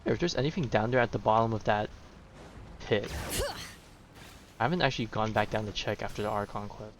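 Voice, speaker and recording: A man streaming a video game, chatting casually in a rambling, halting way as he thinks aloud. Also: lowish voice. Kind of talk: casual livestream chat over gameplay. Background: game music and battle sounds.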